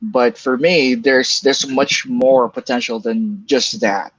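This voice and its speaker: A man's voice: medium pitch, fairly even intonation, husky, no echo. Filipino guy, bicol first language, stop-start chatty answers, kinda dull lo-fi dry sound. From Philippines. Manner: halting, many restarts and fillers, laughs lightly. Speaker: guest, email copywriter. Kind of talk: casual interview answers.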